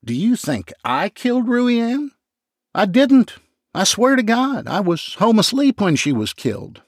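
A man's voice: on the high side, very animated, moderate pace, slightly rough, no echo, clean studio sound, voice pushed up, exaggerated voice. A man voicing a nervous, tearful man who pleads and nearly sobs as he fears for his job. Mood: tearful pleading. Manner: pleading, whiny, on the verge of sobbing.

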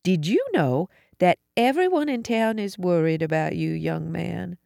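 A woman voicing a calm, kindly older mother with a quiet, gentle, soothing manner in an audiobook story. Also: on the low side, measured pace, vocal fry, no room sound, studio quality.

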